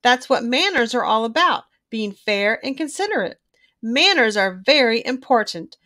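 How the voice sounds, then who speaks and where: A woman voicing a firm, sensible mother bear in a children's storybook, instructive and measured. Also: a little high, very animated, pushed and bright. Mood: firm, patient. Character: mother bear, firm, sensible, patiently correcting her family.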